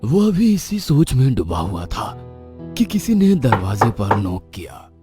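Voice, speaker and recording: A man narrating an animated horror story in an expressive, suspenseful way. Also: mid-range voice, measured pace. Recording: background music.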